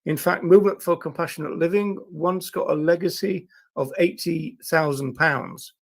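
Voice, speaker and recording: Croaky man hosting a livestream. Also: on the high side, normal speed. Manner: rambling, with many fillers and restarts. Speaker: livestream host. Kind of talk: casual livestream talk.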